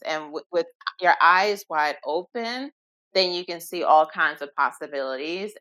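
A woman's voice: on the low side, a bit bright. A woman around forty, a guest in a casual interview, talking in a reflective, earnest way with small restarts. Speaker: guest, minimalism business owner.

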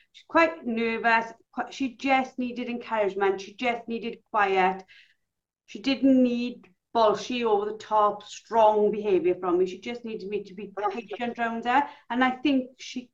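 A woman hosting a podcast, telling stories in a lively way and acting out quotes. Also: on the high side. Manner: animated storyteller, acts out quotes. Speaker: podcast host. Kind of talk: casual chat. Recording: dull lo-fi call audio.

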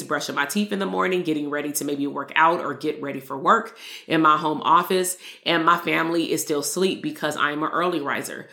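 A woman's voice: low-pitched, vocal fry, reverberant. A woman hosting a solo motivational podcast as a therapist coach, talking earnestly and repeating key lines for emphasis. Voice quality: loud voice.

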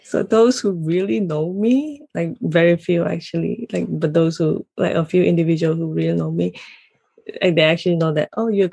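A woman from Malaysia with a Malaysian accent, talking casually and haltingly as an interview guest. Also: fairly low pitch, gravelly, dark tone, husky, dry recording. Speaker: podcast guest, consultant.

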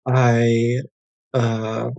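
A man's voice: mid-range voice, completely flat delivery, very slow, vocal fry, a little mellow, no room sound, lo-fi sound. An American man in his thirties, a guest on an interview show, talking casually with many fillers and restarts. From United States. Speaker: guest, English language coach.

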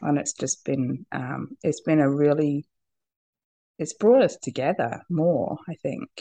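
A woman with a cold and a husky voice, talking candidly as a podcast guest, laughing often and restarting her sentences. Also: low voice, a little slow, dark tone. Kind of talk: candid personal conversation.

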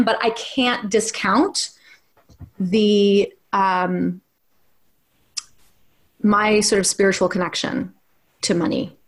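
A woman acting coach speaking frankly and reflectively as an interview guest, swearing casually and restarting her sentences. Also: medium pitch. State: single mom. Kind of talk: candid conversational interview.

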